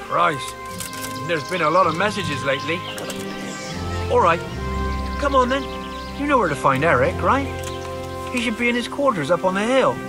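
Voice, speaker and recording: High-voiced grumbling gate guard. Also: male voice, very animated, moderate pace, pauses often, music in the background. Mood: exasperated. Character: weary, exasperated male bandit gate guard. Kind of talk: video game cutscene acting.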